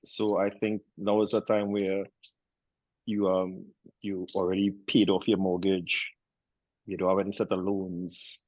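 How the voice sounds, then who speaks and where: A man in his fifties speaking on a panel about his life, relaxed and chatty with frequent restarts. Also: low-pitched, measured pace, dry recording, muffled recording. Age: 50s. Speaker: panel guest. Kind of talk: casual chat.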